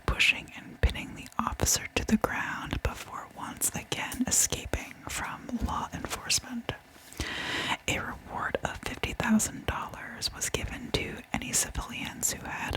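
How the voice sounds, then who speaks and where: A Canadian woman narrating true crime as ASMR in a breathy whisper, with informal asides to listeners. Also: on the high side, poor audio.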